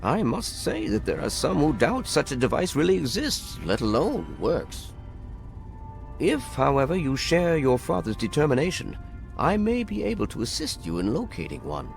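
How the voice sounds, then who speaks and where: An actor playing a courteous, scholarly scribe in a video game, formal, precise and guarded. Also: male voice, on the high side, huge pitch swings, moderate pace, vocal fry, soft background music, exaggerated voice. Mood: guarded, helpful. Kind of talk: dramatic video game acting.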